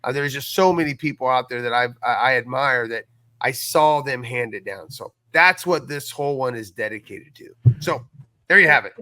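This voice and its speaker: A middle-aged man in casual podcast conversation, telling things in an earnest, folksy, animated way. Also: medium pitch, normal speed, no room sound. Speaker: podcast guest and audio series creator.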